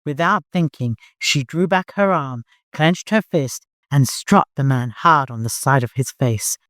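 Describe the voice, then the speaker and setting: A narrator reads dramatic fiction for an audiobook. The voice sits at a middle pitch. The recording is dry, with no room sound. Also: a little slow, fairly bright tone, no room sound.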